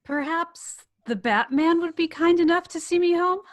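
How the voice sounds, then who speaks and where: Woman playing a high-voiced, flirtatious socialite. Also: moderate pace. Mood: coy. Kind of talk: script table read.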